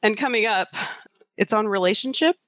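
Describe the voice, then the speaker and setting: A woman's voice: medium pitch, normal speed, fairly bright tone, no echo. A woman co-hosting a podcast, talking casually and candidly about personal life with self-deprecating openness. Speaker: podcast co-host.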